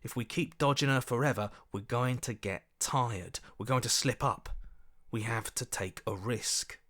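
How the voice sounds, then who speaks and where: A man's voice: medium pitch, a little slow, fairly bright tone. A man playing an earnest, anxious hero for a video game, speaking in a plain, urgent, questioning way. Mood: strained, alarmed. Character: earnest, anxious heroic inner voice, adult man. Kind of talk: video game character acting.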